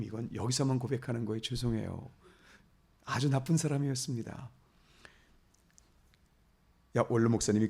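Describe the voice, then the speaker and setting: A man's voice: medium pitch, a little mellow. A middle-aged man preaching as a pastor, telling a story about himself and then building to emphatic blessings. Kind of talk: sermon.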